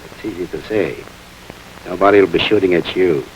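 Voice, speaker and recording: An actor playing a surly, hot-tempered gangster who talks in a blunt, sneering, resentful way, in 1930s crime film style. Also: male voice, low-pitched, normal speed, a little hiss or hum, natural voice, low-quality recording.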